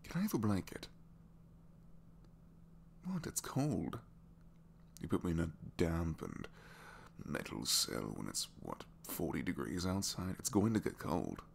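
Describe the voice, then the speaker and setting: A man's voice: low-pitched, wildly swooping pitch, measured pace, creaky, pauses often, heavily acted. A man voicing a reluctant captured supervillain, dry, sheepish and complaining, in a hesitant, rambling way, for an intimate ASMR roleplay. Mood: grumbling, cold. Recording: faint background noise.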